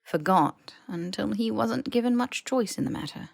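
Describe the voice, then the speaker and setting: A woman reading fiction as a scripted audiobook narration, in an expressive, wry storytelling manner. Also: mid-range voice, normal speed, creaky, no room sound, studio quality. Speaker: podfic narrator.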